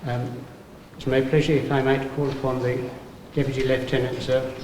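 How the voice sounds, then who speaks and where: A man's voice: mid-range voice, level tone, normal speed, darkish tone. A man hosting a church concert, thanking and introducing people informally to an audience. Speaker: concert host. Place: inside a church, before an audience. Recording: distant, very noisy, echoey church recording.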